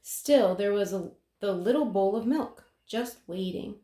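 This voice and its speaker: A woman reading a children's picture book aloud in an expressive way, with dramatic pauses. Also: mid-range voice. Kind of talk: children's story read-aloud. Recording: roomy, echoing sound.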